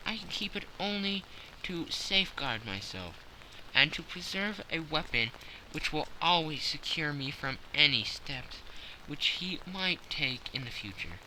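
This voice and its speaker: Guy putting on a clever young actress, poised, kinda teasing, voice raised up high and bright. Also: sing-song, a little slow, slight background noise, heavily acted, poor audio. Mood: firm.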